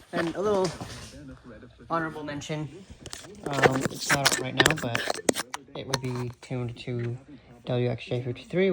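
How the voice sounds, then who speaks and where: A man giving an informal, halting show-and-tell narration as a radio hobbyist. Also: mid-range voice. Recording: clearly noisy with clicks. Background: weather radio playing.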